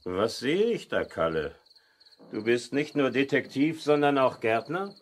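A jovial uncle from a children's radio play. He has a mid-pitched voice that swings widely in melody. He teases and talks down in a patronising way. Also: very animated, unhurried. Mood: teasing, patronising. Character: jovial, teasing, patronising uncle, adult man. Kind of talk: 1970s German children's radio play acting.

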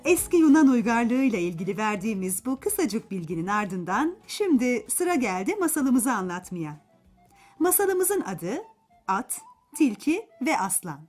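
Animated woman storyteller for children. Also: a little high, unhurried, faint music behind. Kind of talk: expressive scripted narration of a folk tale for children.

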